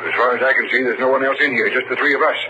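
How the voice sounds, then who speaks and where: A man playing a steady middle-aged American small-town sheriff, measured and serious, in 1940s radio drama style. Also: mid-range voice. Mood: puzzled, serious. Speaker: lead actor and narrator. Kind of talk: 1940s radio acting and first-person narration. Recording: noisy old radio drama recording.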